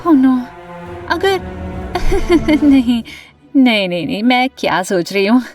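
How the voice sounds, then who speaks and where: A young female student with a high, dramatic voice. She confides her cartoon tale like an imaginative, anxious girl in love. Soft music sits under her words. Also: very animated, slow, exaggerated voice. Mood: alarmed. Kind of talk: animated first-person story narration and dialogue.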